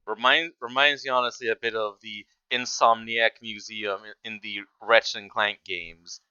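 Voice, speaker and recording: A man's voice: lowish voice, steady tone, normal speed, pushed and bright, clear, resonant tone, dry recording, muffled recording. A man giving casual off-the-cuff reaction commentary, rambling and stammering as he restarts his sentences. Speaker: reaction video host.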